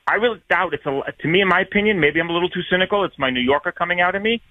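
A man's voice: high voice, dry recording. A man with a New York accent calls in over a thin, tinny phone line. He speaks earnestly, picking his words with many fillers. The sound is dry and boxed in. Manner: earnest, wordy, halting with fillers. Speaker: caller. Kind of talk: call-in opinion.

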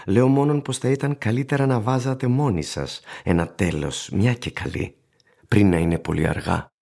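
An actor playing an ageing, plain-spoken shoe shiner in a radio play, courteous and gravely cautioning, with a husky, gravelly voice. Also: male voice, lowish voice, darkish tone. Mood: grave warning. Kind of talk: radio drama acting.

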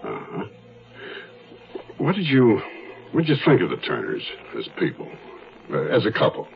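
An actor playing a methodical police detective in a 1970s radio drama, terse and matter-of-fact. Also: male voice, lowish voice, huge pitch swings, moderate pace, vocal fry, a little hiss or hum, exaggerated voice. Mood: probing, businesslike. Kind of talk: 1970s radio drama acting and first-person narration. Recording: dull 1970s radio recording, music behind.

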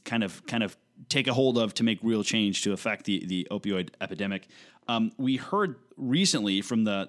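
A man's voice: lowish voice, a bit bright. A man hosting a political talk show, framing long questions and repeating words as he goes. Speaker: radio talk show host.

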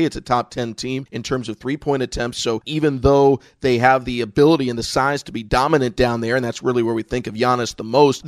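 A man's voice: medium pitch, dry recording, clean studio sound. A man who works as a sports radio broadcaster, hosting a podcast with analysis and interview talk.

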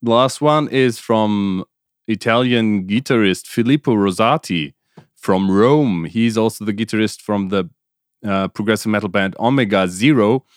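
A man's voice: fairly low pitch, a little slow, clear, resonant tone, no echo, clean studio sound. A man co-hosting a music podcast, speaking casually, hesitating and repeating words, often laughing. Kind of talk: casual chat.

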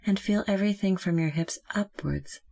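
A meditation guide speaking gently and calmly, giving slow, measured instructions with long pauses. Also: mid-range voice, gravelly.

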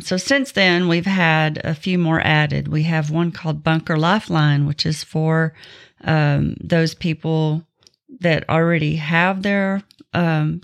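Chatty woman co-hosting a casual podcast. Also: low-pitched. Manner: informal, chatty. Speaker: podcast co-host. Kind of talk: casual podcast chat with announcements.

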